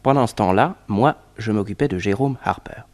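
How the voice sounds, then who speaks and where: An actor playing an observant detective who narrates his case with measured, wry musing in a 1960s French radio serial. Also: lowish voice, a little mellow, natural voice. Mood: narrating.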